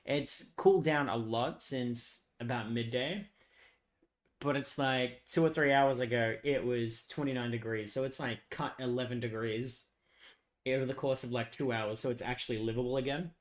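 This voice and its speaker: Hoarse young guy streaming, rambly and sweary, laughs a lot, mid pitch. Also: steady tone, moderate pace. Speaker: live streamer. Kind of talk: casual live-stream chat with viewers.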